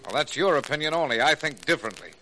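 An actor playing a stubborn, hot-tempered doctor in a 1940s radio drama, curt and dismissive in manner. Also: male voice, a little high, lively intonation, fast talker, pushed and bright. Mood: scornful. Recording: noisy, crackly old radio recording.

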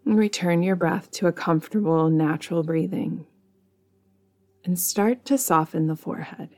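Low-pitched woman guiding a meditation. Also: darkish tone. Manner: soothing, measured delivery with long pauses. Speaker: meditation guide, podcast host. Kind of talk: guided meditation.

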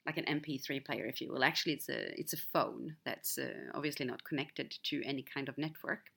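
A woman's voice: very deep voice, brisk, gravelly, a bit bright, husky. A woman whose first language is Swedish hosts a podcast, telling a personal story in a candid, reflective solo monologue. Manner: candid, storytelling, wide swings in emphasis. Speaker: podcast host.

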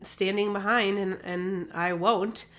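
A woman's voice: mid-range voice, steady tone, slow, a bit bright. A woman hosting her own health podcast, talking casually with lots of fillers and restarts, a little nasal from a recent cold. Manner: chatty, rambling with many fillers and restarts. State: slightly nasal, recovering from a cold. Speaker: podcast host, holistic health practitioner. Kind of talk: casual solo podcast talk. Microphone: podcast microphone.

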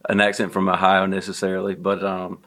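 A man in his thirties with a Southern US accent, talking as a guest in an easygoing, unhurried way. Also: low-pitched, monotone, pushed and bright. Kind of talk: casual conversation.

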